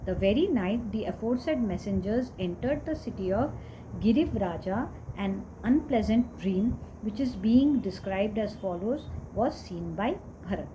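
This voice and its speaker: A woman's voice: mid-range voice, lively intonation, unhurried, mellow, low-quality recording. Woman reading scripture over noticeable background noise. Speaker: reader of scripture.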